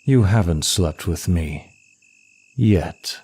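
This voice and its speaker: Man acting as demon prince of lust, low-pitched, slow, seductive, arrogant, suave, taunting, intimate ASMR roleplay. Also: animated, a little mellow, husky, heavily acted. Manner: smug, taunting, suave, deliberate.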